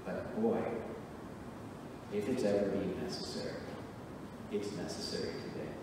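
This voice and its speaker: A middle-aged man preaching a sermon from a church pulpit, earnest and emphatic with measured pauses. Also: deep voice, very noisy. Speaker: pastor. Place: church sanctuary, at a wooden pulpit. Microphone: pulpit mic, recorded from a far camera. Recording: echoey church hall from far off.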